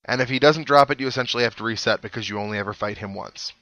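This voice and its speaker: A man's voice: medium pitch, racing, fairly bright tone, dry recording. A man hosting a video game playthrough, giving casual commentary with wry, dry-humoured asides. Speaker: host of a gaming playthrough series. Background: video game music.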